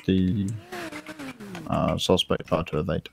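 A man's voice: deep voice, level tone, slow pace, vocal fry, faint music behind. A man giving improvised police reports in a roleplay game over voice chat. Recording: faintly noisy game voice chat.

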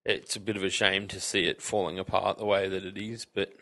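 An Australian man as a podcast guest, talking in a relaxed, rambling way full of fillers. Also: low voice, fairly quick. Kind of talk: casual chat.

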